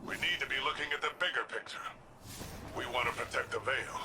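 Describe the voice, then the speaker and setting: A firm veteran cyborg guardian in a video game, voiced by a man. He sounds practical, serious and emphatic at a medium pitch. Clear ambient noise surrounds the voice. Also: brisk, close to an everyday voice. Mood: earnest, firm.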